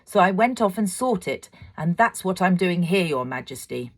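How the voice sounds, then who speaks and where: An earnest young storybook carpenter, voiced by a woman, with a lifted pitch. He explains himself politely. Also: expressive, moderate pace.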